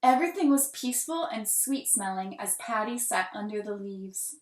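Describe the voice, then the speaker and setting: A woman reading a children's picture book aloud with deliberate, dramatic emphasis and expressive delivery. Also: medium pitch. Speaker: children's storybook reader. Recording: roomy, echoing room sound.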